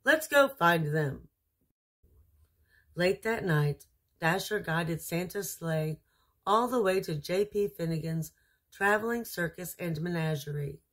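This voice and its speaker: Female storyteller for children's picture books: unhurried, lowish pitch, husky, expressive. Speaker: storyteller reading aloud.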